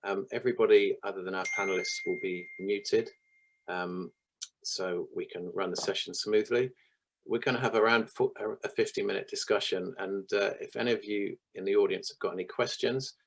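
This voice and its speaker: A man with a very low, deep voice hosts an online panel. He is hoarse and croaky from losing his voice, and his talk is full of ums and small restarts. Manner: hesitant, with frequent ums. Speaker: webinar host. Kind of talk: hosting a video-call panel. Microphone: video call.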